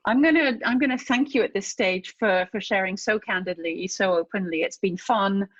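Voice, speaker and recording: Female podcast host, low-ish husky voice, affirming and light, dull dry audio. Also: moderate pace, no room sound. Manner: warm affirming replies, gentle joking. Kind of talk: interview hosting.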